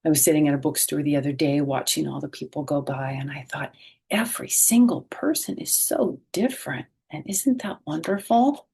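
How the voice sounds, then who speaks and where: Female storytime host, middle-aged, somewhat husky, fairly low-key pitch movement, gentle read-aloud with friendly explanations for kids. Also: moderate pace. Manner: gentle, expressive, addressing young listeners directly. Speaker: storytime host reading a picture book.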